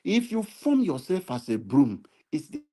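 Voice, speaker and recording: Nigerian male commentator, warm and mellow, preachy, builds to emphatic repeated appeals. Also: on the high side, huge pitch swings, a little slow. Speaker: political commentator. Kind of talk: impassioned political commentary. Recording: live stream.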